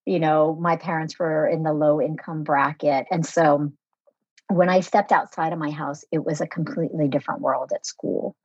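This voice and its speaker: A woman's voice: sits low, steady tone, normal speed, darkish tone, dry recording, dull audio. A woman in her forties who grew up in America after leaving Vietnam as a small child, speaking thoughtfully as a podcast guest with frequent fillers. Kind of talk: conversational interview.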